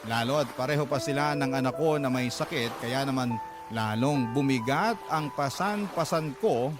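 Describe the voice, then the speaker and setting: A man playing a troubled young father who narrates his story for Filipino radio in a halting, sorrowful, earnest way. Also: mid-range voice, over music, close to an everyday voice. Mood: sorrowful confiding.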